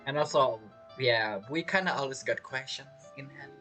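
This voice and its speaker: A woman giving casual commentary as she plays a game, halting and thinking aloud as she goes. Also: very deep voice, music in the background. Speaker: game streamer.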